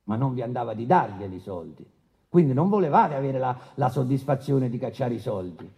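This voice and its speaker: A man's voice: medium pitch, expressive, a little fast, warm tone, natural voice. Actor as a brusque, patronising male judge. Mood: pressing. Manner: insistent, patronising, repeats himself. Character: brusque, paternalistic male presiding judge questioning witnesses. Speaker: actor in a radio courtroom play. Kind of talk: dramatised trial questioning. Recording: dull lo-fi radio sound.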